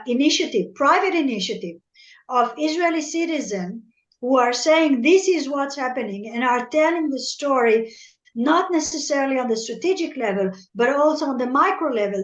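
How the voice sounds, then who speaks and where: Middle-aged French-native woman, fairly high voice, dull lo-fi sound, earnest and halting. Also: lively intonation. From Algeria. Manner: earnest and measured, often repeating words as she searches for them. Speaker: guest analyst, former military spokesperson. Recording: echoey room, dull lo-fi audio.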